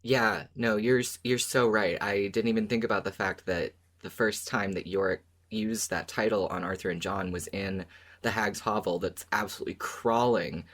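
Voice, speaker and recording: A woman's voice: a bass voice, steady tone, moderate pace. A woman in a casual fan discussion, speaking informally with playful turns of phrase as a podcast panelist. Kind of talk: casual chat, fan analysis.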